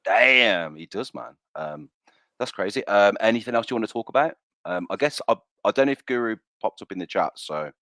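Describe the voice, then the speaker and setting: Man, stream host, lowish voice, dry sound, relaxed, lots of ums. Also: a little fast, gravelly, dry recording. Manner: laid-back, lots of ums and yeahs. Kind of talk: casual chat.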